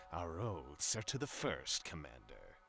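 An actor playing a scornful, insolent sergeant with a raspy voice in a sci-fi war audio drama. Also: male voice, rumbling low, very animated, takes it slowly, sharp tone, faint music behind, full character voice. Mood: defiant. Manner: insolent, protesting. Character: defiant, scornful traitor sergeant, adult man.

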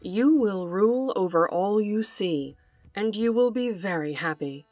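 A woman voicing a solemn male divine creator in a storybook fable, pronouncing fates in a measured way. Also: medium pitch, lively intonation, a little mellow, no echo, soft background music.

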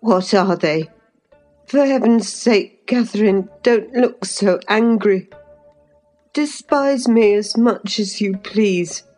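A woman's voice: medium pitch, slow pace, a little mellow, soft background music, voice pushed up, natural voice. A woman voicing a cowardly, self-pitying boy in a storybook, pleading in a whimpering, overwrought way. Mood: self-pitying, wounded.

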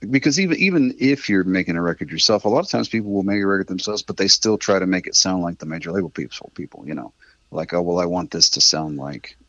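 A middle-aged man with a husky voice, chatting candidly and casually as an interview guest, rambling a little and swearing mildly. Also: sits low, fast talker, a bit bright, dry recording, lo-fi sound. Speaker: interview guest, independent musician.

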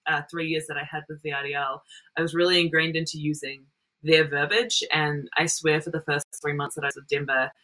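A young woman with an Australian and New Zealand accent talks in a low, bright voice. She rambles through her points with fillers in a casual podcast chat. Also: flat delivery, fairly quick, low-quality recording. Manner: rambling, with frequent fillers and laughs. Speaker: roller derby jammer and captain.

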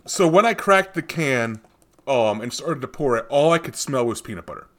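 A man's voice: mid-range voice, expressive, moderate pace, fairly bright tone. A man co-hosting a beer podcast, chatting casually and rambling through his tasting notes. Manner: rambling, tangential, with many fillers and restarts. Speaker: podcast co-host reviewing beer. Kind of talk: casual chat.

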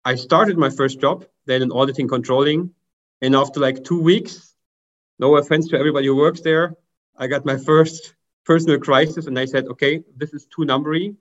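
A man from Germany with a German accent, a guest author answering interview questions in measured, slightly formal phrasing over a video call. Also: a little high, steady tone, a bit bright, dull audio. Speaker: guest author, procurement consultant.

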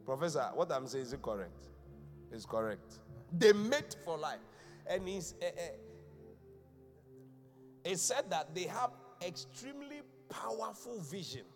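A man's voice: on the high side, big pitch swings, measured pace. A man, a bishop preaching a live church sermon in a chatty, questioning way. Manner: conversational preaching, quizzing the congregation. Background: keyboard music under the voice.

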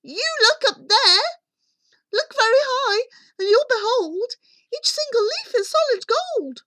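A woman voicing an excitable, cheeky young boy in a fairy tale, breathless and pleading. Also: very high-pitched, a little slow, voice pushed up, heavily acted. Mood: insistent.

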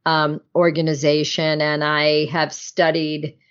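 A woman's voice: low-pitched, completely flat delivery, takes it slowly, smooth. A woman hosting an interview, asking long questions with many restarts. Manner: wordy, searching questions with restarts. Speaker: interview host. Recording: dull lo-fi audio.

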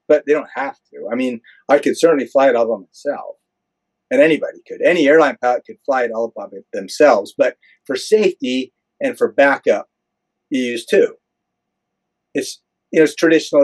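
A man's voice: medium pitch, darkish tone, low-quality recording. Plain-spoken older American man. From United States. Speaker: airline pilot and interview guest. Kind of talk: conversational interview answers, explanatory.

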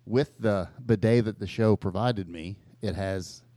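A man with a slightly low voice co-hosts a casual comic podcast. His humor is crude and tongue-in-cheek, and the recording is dry and close. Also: measured pace, dark tone, dry recording. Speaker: podcast co-host.

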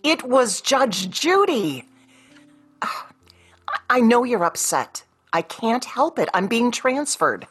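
An actress playing a patient, practical ex-wife in a radio play, with a natural, placating way of talking. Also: female voice, on the high side, very animated, takes it slowly, breathy edge, heavily acted. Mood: exasperated. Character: patient, practical career woman, an exasperated ex-wife.

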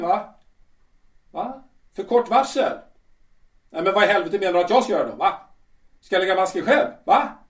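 A man's voice: sits high, recorded from a distance. A man playing a blustering, irritable stage actor. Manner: blustering, impatient, curses when annoyed. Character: vain irritable male stage actor Peter. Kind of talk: amateur audio drama acting. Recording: roomy, from off-mic.

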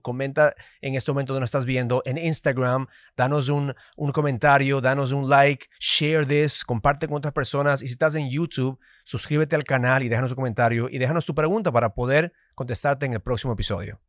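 A man originally from Ecuador, raised in the United States, co-hosting a podcast in energetic Spanish with a mixed Ecuadorian and Dominican accent, often restarting words and dropping in English. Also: medium pitch, fairly quick, hardly any pauses, dry recording, studio quality. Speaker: podcast co-host. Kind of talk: business podcast conversation.

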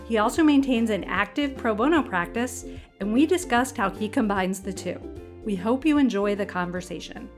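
A woman's voice: medium pitch, lively intonation, moderate pace, soft background music. A woman hosting a podcast, reading a scripted intro and asking friendly, upbeat questions. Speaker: podcast host.

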